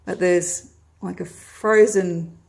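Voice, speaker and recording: Chatty Australian mum with a gravelly voice. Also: female voice, fairly low pitch, fairly even intonation, slow pace, a little mellow. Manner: friendly, chatty, with frequent "uh" fillers. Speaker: vlogger. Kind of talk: casual grocery haul vlog. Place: home, indoors, at a table of groceries.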